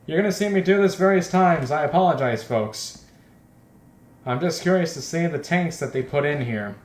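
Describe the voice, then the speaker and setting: A man's voice: fairly high pitch, lively intonation, normal speed. A man hosting a let's play, reading a visual novel aloud and adding rambling, self-conscious joking commentary. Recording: echoey, roomy home recording.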